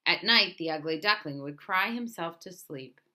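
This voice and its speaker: A woman reading a children's storybook aloud in an expressive, emphatic way. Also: fairly low pitch, moderate pace. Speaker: storybook reader.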